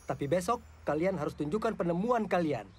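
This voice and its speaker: A woman voicing an instructive school science teacher in a children's cartoon, with a measured classroom delivery. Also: lowish voice, lively intonation, a crowd in the background, close to an everyday voice. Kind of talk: animated children's cartoon dub. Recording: music behind the voice.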